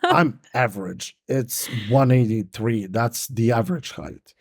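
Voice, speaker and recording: A young man from Montenegro hosts a casual podcast, his voice sitting at an ordinary pitch and recorded close and dry. He rambles through fillers and swears casually, and his native language is Montenegrin. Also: animated, a little slow, no echo. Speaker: podcast host. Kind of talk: casual conversation.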